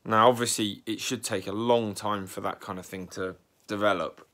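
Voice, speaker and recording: A young man with a fairly low voice talks through his worries in a casual vlog. He sounds bunged up and a bit raspy from a cold, and clears his throat now and then as he rambles anxiously. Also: fairly even intonation, normal speed, a bit bright. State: congested and slightly raspy from a cold. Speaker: vlogger.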